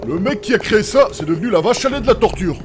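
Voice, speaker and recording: A man's voice: high voice, expressive, fast talker, music in the background. A man playing a sardonic, casually joking chemist with ghoulish humour in a fantasy comedy audio drama. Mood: gleefully ghoulish.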